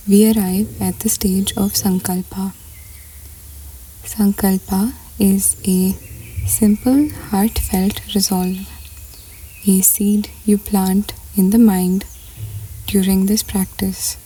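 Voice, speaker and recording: A woman leading a scripted guided meditation in a soft, breathy voice that often nears a whisper, unhurried and measured with pauses between short phrases. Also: mid-range voice, little pitch movement, slight background noise, rough recording.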